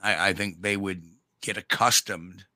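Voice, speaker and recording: A man with a New York accent co-hosting a casual live chat show, talking in a folksy, blunt way with drawn-out emphasis. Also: sits low, fairly even intonation, pushed and bright, no room sound, studio quality. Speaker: co-host.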